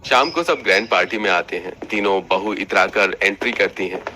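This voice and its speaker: A man's voice: fairly low pitch, moderate pace, pushed and bright. A man reading scripted narration for a cartoon family story.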